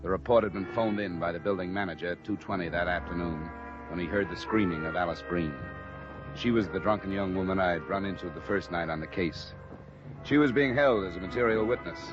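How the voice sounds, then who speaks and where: Actor as a deep-voiced hard-boiled radio detective. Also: male voice, fairly quick, lightly acted. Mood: weary narrating. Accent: American. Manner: clipped, dry, matter-of-fact. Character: hard-boiled male insurance investigator, terse, weary, guarded. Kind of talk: 1950s radio detective drama with first-person narration. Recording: dull vintage radio recording with music.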